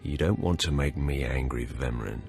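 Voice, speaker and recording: An arrogant young acolyte of a dark order in a video game. The voice is extremely deep and self-assured, cold with menace. Music plays faintly underneath. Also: male voice, normal speed, vocal fry. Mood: threatening.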